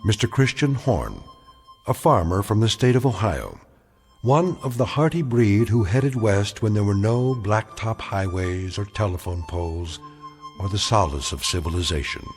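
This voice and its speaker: A solemn narrator of an eerie radio anthology. His lowish voice moves expressively through measured, ominous lines over faint music. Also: animated, darkish tone. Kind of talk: scripted dramatic narration.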